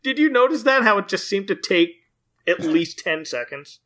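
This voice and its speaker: Podcast host guy, kinda high sharp voice, excited casual recap, sounds amused. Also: normal speed. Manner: enthusiastic, amused, laughs often while talking. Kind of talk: casual episode recap chat.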